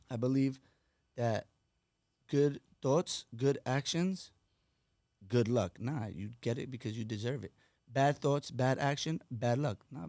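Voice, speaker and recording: Gravelly-voiced man chatting about blessings. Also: mid-range voice. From New York. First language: Spanish. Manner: animated storytelling, preachy, says "you know what I'm saying" often. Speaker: guest, fashion designer. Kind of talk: casual podcast chat.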